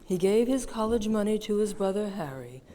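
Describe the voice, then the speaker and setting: A woman in a live stage radio play, playing a calm figure who narrates the story. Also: mid-range voice, normal speed, long reverb tail, a little hiss or hum, lightly acted. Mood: storytelling. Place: theater stage.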